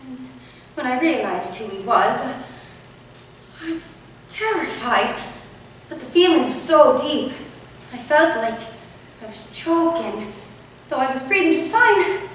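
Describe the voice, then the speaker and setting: Lady playing a modest victorian vicar's wife, bit high voice, hesitant n wistful, echoey stage, far from mic. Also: lively intonation, slow, long pauses. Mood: confessional, troubled. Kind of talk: theatrical staged play reading. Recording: echoey hall from far off, faint noise.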